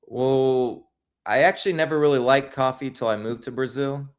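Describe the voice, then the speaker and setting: A man's voice: mid-range voice, normal speed. A man being interviewed as a guest filmmaker, telling his stories in a casual, rambling way with lots of restarts.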